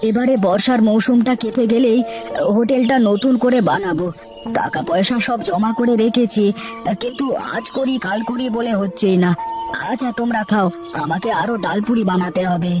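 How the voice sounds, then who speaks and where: A woman's voice: fairly high pitch, normal speed, music in the background, lightly acted. A woman voicing a kind, dutiful middle-aged man who keeps a village eatery, in a cartoon. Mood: friendly. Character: kind devoted middle-aged male hotel keeper. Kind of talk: cartoon voice acting.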